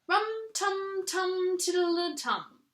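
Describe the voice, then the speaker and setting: A woman's voice: extremely high pitch, drawn-out words, a bit bright, voice pushed up, heavily acted. Pooh: a woman voicing a cheerful humming bear. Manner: sing-song humming. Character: cheerful, simple, greedy male bear.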